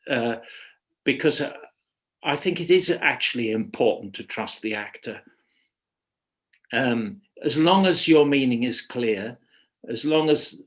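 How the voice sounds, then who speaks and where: A man with an average-pitched voice speaks as a guest expert in an informal webinar. He thinks aloud, pausing and restarting phrases as he works toward each answer. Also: a bit bright. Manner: hesitant, halting, thinking aloud with repeated starts. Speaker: guest expert, radio dramatist.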